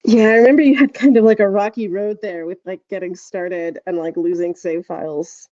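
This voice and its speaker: A woman's voice: mid-range voice, steady tone, moderate pace, warm tone, dry recording, dull audio. A woman co-hosting a casual podcast, speaking with hesitant, understated, dry reactions. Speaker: podcast co-host.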